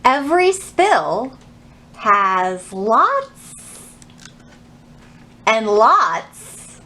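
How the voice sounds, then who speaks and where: Slow, high-pitched woman presenting children's storytime. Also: sing-song, sharp tone. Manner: simple, instructive phrasing with questions to young listeners. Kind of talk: children's storytime, teaching and reading aloud to young kids.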